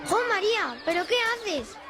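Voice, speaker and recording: A woman's voice: very high-pitched, big pitch swings, moderate pace, exaggerated voice. A woman playing a puzzled, bossy young schoolgirl in a cartoon. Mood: puzzled, disapproving. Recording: loud music and crowd noise behind.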